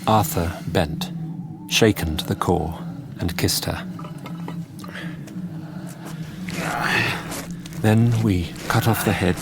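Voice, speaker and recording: Grave Victorian asylum doctor, low register, diary narration for radio drama over background noise. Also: male voice, a little slow. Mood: grim, intense.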